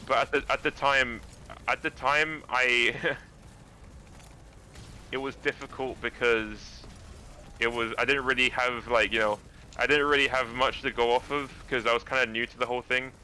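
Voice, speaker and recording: A man with a naturally deep voice, a guest actor chatting casually about acting, rambling and restarting his sentences. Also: fairly even intonation, normal speed, sharp tone, slight background noise, poor audio.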